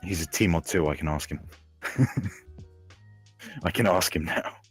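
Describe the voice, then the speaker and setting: A sixteen-year-old male with a deep, husky voice, chatting casually as a podcast host. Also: expressive, slow pace, faint music behind. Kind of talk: casual group chat.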